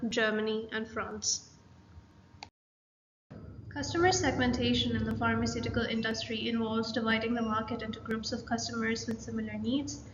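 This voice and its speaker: A young woman, a student presenter, reading a scripted presentation in an even, list-like way over a laptop webcam in a small room. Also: on the high side. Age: young adult. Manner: even, read-aloud delivery with list-like pacing. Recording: lo-fi, echoey room with hiss.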